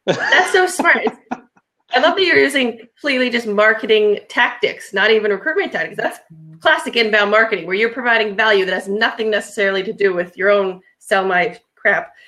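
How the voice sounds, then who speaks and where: A woman co-hosting a casual video call chat, enthusiastic and quick to praise, talking about marketing ideas. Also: mid-range voice, pushed and bright. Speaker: co-host.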